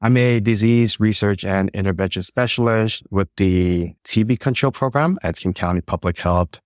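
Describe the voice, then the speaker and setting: A man from the Marshall Islands with a very deep voice. He speaks fluently and professionally as an interview guest. The sound is dry and close. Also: moderate pace, no room sound. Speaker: disease intervention specialist.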